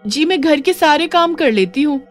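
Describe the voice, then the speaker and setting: A woman's voice: high-pitched, animated, moderate pace, voice pushed up. A woman playing a meek, polite village widow in a horror story, with a pleading, deferential manner. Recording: music under the voice.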